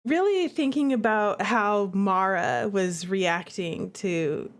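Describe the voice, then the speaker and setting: A woman streamer and game developer giving casual live commentary, chatty and expressive, often repeating herself for emphasis. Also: mid-range voice, slow.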